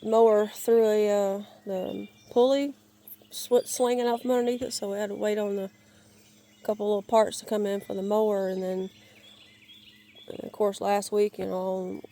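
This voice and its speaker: Woman, hoarse and crackly from a cold, flat mellow delivery, halting outdoor phone walk-and-talk, birds in background. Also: mid-range voice. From Georgia, US. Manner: halting, searching for words, many restarts. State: raspy, crackly voice while getting over a cold. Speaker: gardener giving a yard tour. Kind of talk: casual rambling walk-and-talk. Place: outdoors in a wooded yard. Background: birds and outdoor ambience.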